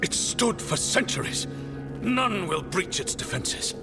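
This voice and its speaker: An actor playing a deluded, haughty military commander in a video game, imperiously barking orders. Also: male voice, high-pitched, sing-song, slow pace, vocal fry, heavily acted. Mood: imperious, delusional. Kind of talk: video game drama acting. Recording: loud game music behind.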